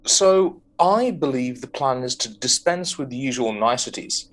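A man co-hosting a casual podcast, pausing often with ums as he gathers his thoughts. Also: mid-range voice, lively intonation. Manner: hesitant, lots of ums. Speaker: podcast co-host. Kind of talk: casual chat.

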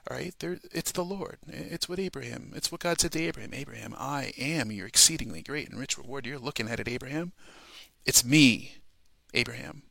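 Bright, gravelly man speaking earnestly. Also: mid-range voice, animated, a little fast. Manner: earnest, emphatic, often checks with "okay?" and "all right?". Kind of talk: informal devotional talk, personal testimony.

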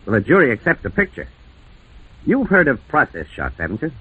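A man's voice: medium pitch, wildly swooping pitch, brisk, breathy edge, full character voice. A man playing a shrewd, blunt police lieutenant in an old-time radio mystery, with probing, emphatic delivery on a muffled vintage recording. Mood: accusing.

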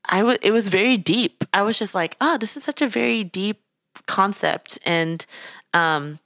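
A woman's voice: medium pitch, normal speed, a bit bright, dry recording, clean studio sound. A young woman from the Philippines co-hosting a podcast, chatting casually and rambling as she searches for her words. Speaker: podcast co-host. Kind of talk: casual chat.

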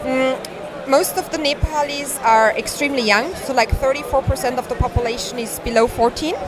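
A woman's voice: high voice, brisk, over music, rough recording. Austrian-born woman amid a busy conference crowd. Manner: earnest, explanatory, non-native phrasing. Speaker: guest, startup founder. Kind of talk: interview at a conference. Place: conference hall.

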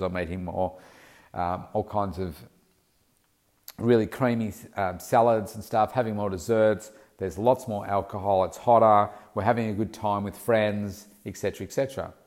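A man's voice: fairly low pitch, darkish tone. A man who works as a personal fitness coach, sounding snuffly from hay fever, giving casual step-by-step advice with frequent ums. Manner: conversational, instructive, talks in steps with frequent ums.

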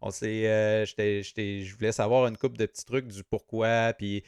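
A man with a Québécois French accent hosting an interview, asking relaxed questions with many fillers. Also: fairly low pitch, normal speed, smooth, no room sound, clean studio sound. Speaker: podcast host. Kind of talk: casual interview chat.

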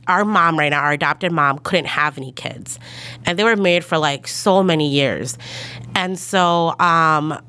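A woman hosting a casual podcast, slightly hoarse from a cold, chatty and upbeat with plenty of "like" and "um". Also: low voice, a bit bright. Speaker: podcast host.

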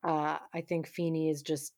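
A woman's voice: deep voice, little pitch movement, normal speed, darkish tone, no room sound, studio quality. A woman co-hosting a casual recap podcast, chatty and wry, with plenty of "like" fillers. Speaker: podcast co-host.

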